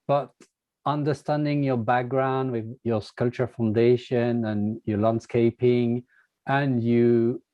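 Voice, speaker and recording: A man with a mellow, warm tone asks polite interview questions, pausing often with fillers. The sound is dry and close, with no room echo. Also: mid-range voice, muffled recording. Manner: polite, halting, frequent fillers. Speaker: interviewer, magazine editor.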